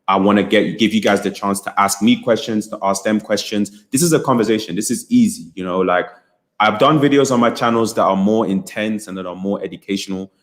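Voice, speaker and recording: Brief: young British man, lowish voice, chatty livestream host, upbeat and encouraging. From United Kingdom. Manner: chatty, encouraging, repeats phrases, frequent fillers. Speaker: business coach hosting a livestream. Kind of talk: casual livestream talk to chat.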